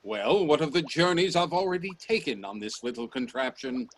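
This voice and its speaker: An eccentric gentleman inventor in a radio play, with a fairly high male voice. He speaks formally and emphatically, utterly sure of himself and grandly insistent. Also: big pitch swings, moderate pace.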